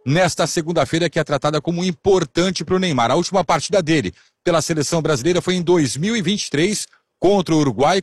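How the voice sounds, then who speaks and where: A man's voice: fairly high pitch, breathy edge, dry recording, studio quality. A man delivering a short factual news report.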